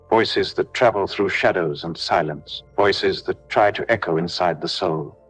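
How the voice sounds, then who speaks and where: Deep-voiced man leading solemn prayer. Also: low-quality recording. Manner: solemn, declarative, measured phrasing. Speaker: prayer leader. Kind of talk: devotional spoken prayer, scripted. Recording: music behind the voice. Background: background music.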